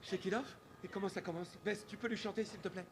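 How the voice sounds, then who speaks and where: A gentle, down-to-earth male voice as an anxious, caring uncle in a film. He speaks plainly and kindly. There is clear background noise. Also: sits high, steady tone, fast talker. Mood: coaxing.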